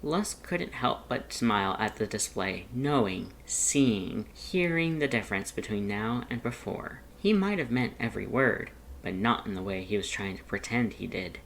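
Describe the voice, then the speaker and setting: A narrator reading fan fiction aloud as an expressive, scripted audiobook-style story. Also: mid-range voice, moderate pace, few pauses, slight background noise, poor audio.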